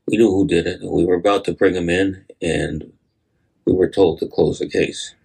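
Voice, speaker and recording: A man with a gravelly, husky voice recalling a conversation in an anecdotal way. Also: deep voice, fairly even intonation, moderate pace, a little mellow, rough recording. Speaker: interviewee telling an anecdote. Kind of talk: conversational recollection.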